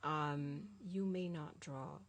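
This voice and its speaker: A woman art teacher with a Jamaican American accent, chatting as a guest in an animated way with lots of filler ums. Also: low-pitched, takes it slowly. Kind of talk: casual conversation.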